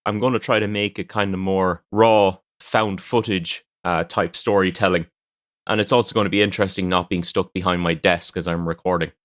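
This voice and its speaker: A man's voice: low-pitched, steady tone, moderate pace, fairly bright tone, no room sound, clean studio sound. A man presenting a story podcast in a scripted narration style, as host and storyteller. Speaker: podcast host and fiction writer. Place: indoors at a desk at home.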